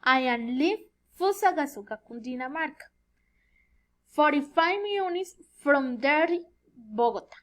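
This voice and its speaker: A woman in her twenties from Colombia with a Colombian Spanish accent, a native Spanish speaker haltingly giving a rehearsed self-introduction as a student, often searching for words. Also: sits high, animated. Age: 20s. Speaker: student giving an oral presentation.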